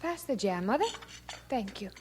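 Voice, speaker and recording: A woman's voice: medium pitch, sing-song, moderate pace, natural voice. A woman playing an earnest, devout British spinster housemaid, dreamy and apologetic, in an audio drama. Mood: defensive. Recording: noisy ambience behind the voice.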